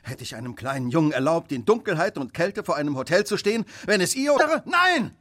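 A man acting in a radio drama as a brilliant, brooding detective, husky, intense and commanding, with clipped deductions. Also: fairly high pitch, very animated, fairly quick, fairly bright tone. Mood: anguished, self-accusing. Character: brilliant brooding detective, driven by guilt and fierce resolve.

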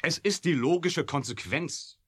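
A man's voice: fairly high pitch, measured pace, fairly bright tone, slightly rough. An actor playing a cynical, hot-headed male scientist in a science-fiction radio play, blunt, sarcastic and emphatic. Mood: urgent, defiant. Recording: noisy vintage radio drama recording.

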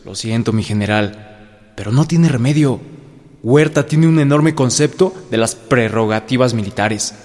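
An actor playing a reassuring gentleman politician in a historical radio drama, speaking in a measured, soothing way. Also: medium pitch, lightly acted. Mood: reassuring, regretful. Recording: long hall reverb, music underneath.

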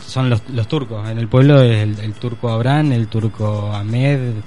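A man's voice: mid-range voice, steady tone, a little slow, mellow, slight background noise. A man with an Argentine accent, telling wry anecdotes in a casual conversation. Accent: Argentine Spanish.

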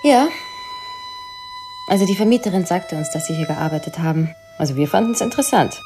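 A friendly mother in a radio crime drama , played by an actress. Her voice is low for a woman, and violin music plays clearly behind her. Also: lively intonation, brisk, lightly acted. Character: friendly young mother showing her flat.

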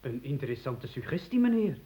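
A man's voice: mid-range voice, sing-song, fairly quick, mellow, in a large hall, slight background noise, lightly acted. An actor playing an overly formal, polite man trying to make contact in a radio play. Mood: stiffly polite. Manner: stiff, ceremonious courtesy.

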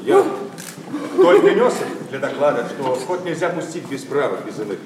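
An actor playing a meek, obsequious young secretary who speaks in hushed, deferential tones. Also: male voice, mid-range voice, wildly swooping pitch, normal speed, distant mic. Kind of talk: classic stage verse acting. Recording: echoey stage from afar, faint noise.

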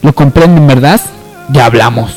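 A man's voice: mid-range voice, moderate pace, darkish tone, faint music behind, natural voice. A man playing an earnest young heir and bereaved son in a radio soap. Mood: hurried, apologetic. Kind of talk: radio soap opera acting.

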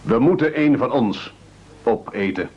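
An actor playing a formal, commanding castaway gentleman in an absurdist radio play, measured and over-polite. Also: medium pitch, lively intonation, slightly rough. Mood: solemn, persuasive. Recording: dull lo-fi old radio recording.

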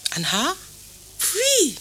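A woman's voice: high voice, wildly swooping pitch, extremely slow, bright, forceful tone, slight background noise, exaggerated voice. An actress playing a direct, exasperated young woman in a radio play. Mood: wry. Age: young adult. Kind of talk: German radio play dialogue.

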